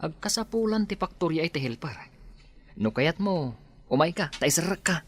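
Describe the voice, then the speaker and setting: A man's voice: a little high, big pitch swings, moderate pace. A man as an easygoing, teasing Ilocano buddy. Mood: persuasive. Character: easygoing teasing young male friend recruiting a helper. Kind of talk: Ilocano radio drama acting.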